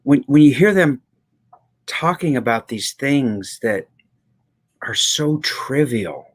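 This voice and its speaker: A man's voice: medium pitch, lively intonation, slow. A man with a mid-range voice in a podcast conversation. He speaks casually and thoughtfully as a co-host. His delivery is earnest, and he repeats phrases for emphasis.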